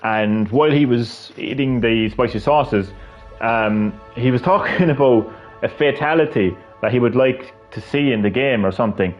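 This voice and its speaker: A man's voice: mid-range voice, moderate pace, lo-fi sound, soft background music. A man, chatting casually while he plays a fighting game, rambling with lots of fillers and restarts. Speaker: gaming streamer. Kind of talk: casual gameplay commentary.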